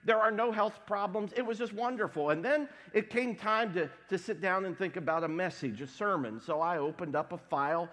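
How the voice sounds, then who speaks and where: A man preaching a sermon as pastor, congested from a cold, with a wry, deadpan humor. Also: high-pitched, brisk, few pauses. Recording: long hall reverb.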